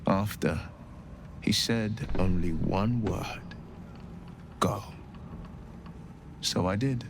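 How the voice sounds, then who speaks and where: An actor playing an earnest, solemn young nobleman adventurer bound by a devil's pact, telling things in a measured way for a video game. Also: fairly low pitch, vocal fry, noisy background, heavily acted. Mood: somber. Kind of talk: video game dialogue acting.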